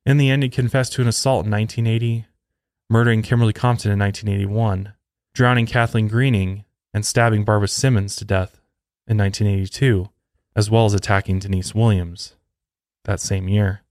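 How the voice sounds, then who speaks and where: A man with a fairly low voice narrates true crime as a podcast host. The sound is close and dry, with very little room around him. He reads from a script, telling the story step by step. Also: fairly even intonation, a little fast, a little mellow, no room sound.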